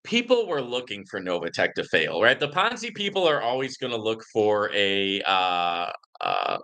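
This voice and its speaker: A man hosting a live Q&A in a casual, conversational and emphatic way, reading comments and often checking in with a quick "right?". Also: on the low side, huge pitch swings, bright, forceful tone. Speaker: livestream host answering viewer questions.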